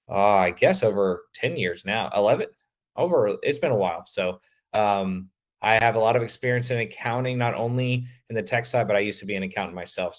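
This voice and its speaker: A man presenting a webinar, his voice a little raspy and hoarse, talking casually with many fillers. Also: lowish voice. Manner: casual, filler-heavy, loose explanations. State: slightly hoarse.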